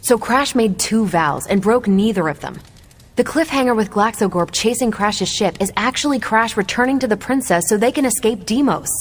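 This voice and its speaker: A woman's voice: fairly high pitch, lively intonation, normal speed, a little hiss or hum, lightly acted. A woman acting as a confident superhero in a video game cutscene, with an assured, explanatory and slightly teasing manner. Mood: assured, knowing.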